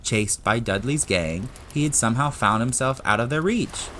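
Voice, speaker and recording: A man's voice: medium pitch, animated, normal speed, fairly bright tone, a little hiss or hum. A man narrating a story in a dramatic audiobook style, with ambient sound effects behind him.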